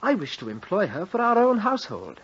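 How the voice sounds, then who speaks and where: Husky, fawning young heir. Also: male voice, sits high, huge pitch swings, fairly quick, fairly bright tone, exaggerated voice. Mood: pleading, defensive. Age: young adult. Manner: obsequious, formal archaic phrasing. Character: scheming, flattering nineteen-year-old son of a rich squire. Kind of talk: old-time radio drama acting. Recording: dull, lo-fi old radio recording.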